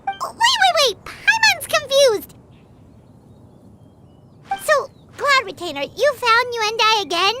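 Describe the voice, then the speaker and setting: A woman voicing a tiny, excitable, childlike fairy companion in an anime fantasy video game, squeaky and insistent. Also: slow pace, long pauses, a little hiss or hum, full character voice. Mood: confused, insistent. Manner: speaks of self in third person, insistent questions.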